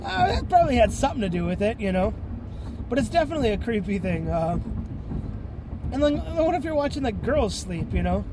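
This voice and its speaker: High-voiced, stuffy-nosed man rambling. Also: very animated, brisk, noisy background. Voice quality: stuffy, congested nose. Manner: clears throat and sniffs often; rambling, many fillers and self-corrections. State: tired. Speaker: podcast host. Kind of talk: rambling solo podcast monologue.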